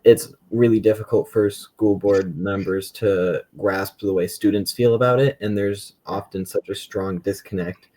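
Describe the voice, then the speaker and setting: Young guy on a podcast panel, low mellow voice, explaining things conversationally. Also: steady tone, unhurried, hardly any pauses, low-quality recording. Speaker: student school board member, podcast guest.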